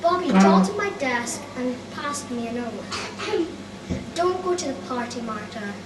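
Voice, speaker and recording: A woman's voice: a little high, expressive, measured pace. A young schoolgirl of about nine reading a children's book aloud to an audience, carefully, with small stumbles. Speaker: schoolgirl reader. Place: cafe event room, indoors. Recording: echoey room, distant, noisy with hum.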